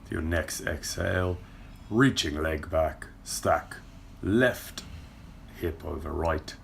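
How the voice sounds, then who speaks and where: Low, gravelly young man instructor. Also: slow, a little hiss or hum. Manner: calm, steady cueing of breath and movement. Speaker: yoga and movement instructor. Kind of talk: guided workout instruction. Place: indoor car park with brick wall. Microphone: far camera.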